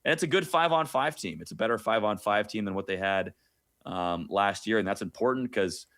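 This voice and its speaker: A man with a fairly low voice gives conversational sports analysis as a radio guest. He tells it informally, like a play-by-play announcer off duty, and drops in wry asides. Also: brisk, fairly bright tone.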